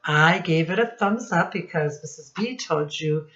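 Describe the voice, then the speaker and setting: Low-voiced, slow-paced woman teacher reading to children. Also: fairly bright tone, lo-fi sound. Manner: teacherly, explains words and asks questions. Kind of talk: children's read-aloud with questions to listeners.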